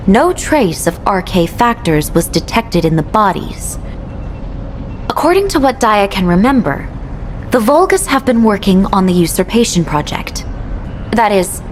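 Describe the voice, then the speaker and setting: A woman's voice: on the low side, a little mellow, slight background noise. A woman voicing a headquarters operator giving the player an official mission briefing in a video game. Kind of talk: scripted game dialogue.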